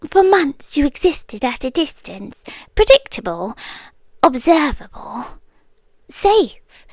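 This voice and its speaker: Brief: a British woman as a lovesick kidnapper, high and unhurried, murmuring into a dry close mic. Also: very animated, no room sound, heavily acted. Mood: calm obsessive. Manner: soft-spoken, often slipping into whispers. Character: obsessive romantic young woman kidnapper, calmly sincere. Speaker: ASMR roleplay creator. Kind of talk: ASMR roleplay.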